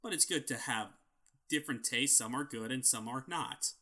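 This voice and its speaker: Nasal guy, super bright tone, argues with everything on a podcast. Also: mid-range voice. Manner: argumentative, quick to disagree. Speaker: podcast co-host. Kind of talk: casual chat.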